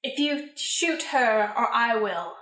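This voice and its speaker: A woman's voice: fairly high pitch, animated, slow, sharp tone, distant mic, heavily acted. A woman playing a coolly scheming, trigger-happy femme fatale in an improvised comedy radio play. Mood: coolly persuasive. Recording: roomy, echoey recording.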